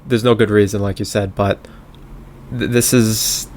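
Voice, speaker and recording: A man's voice: fairly low pitch, flat delivery, moderate pace, darkish tone, slight background noise. A man co-hosting a political talk podcast, speaking informally and conversationally with hesitant starts.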